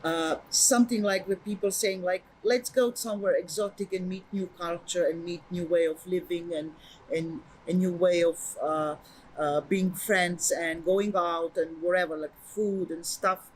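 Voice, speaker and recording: A woman's voice: lowish voice, steady tone, measured pace, a little hiss or hum, low-quality recording. A middle-aged woman from Serbia with a Serbian accent, telling her story as an artist and activist in a hesitant way, with many fillers and restarts. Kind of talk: personal account to camera.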